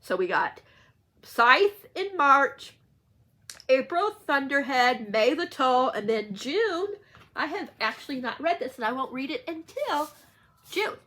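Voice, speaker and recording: Chatty woman with a bright voice. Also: high-pitched, very animated, takes it slowly. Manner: chatty, rambling, self-correcting. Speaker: book vlogger hosting a read-along. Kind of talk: casual unscripted chat to viewers.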